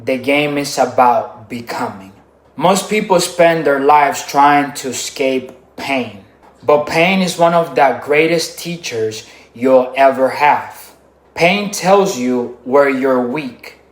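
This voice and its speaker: A man from the Dominican Republic giving a scripted motivational speech in short, punchy, emphatic sentences with deliberate pauses. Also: mid-range voice, echoey room. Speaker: motivational speaker.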